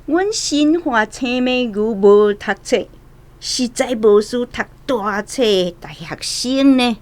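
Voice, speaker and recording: An actress voicing a proud rural village woman in a radio drama, speaking slowly and boastfully. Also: on the high side, expressive, darkish tone.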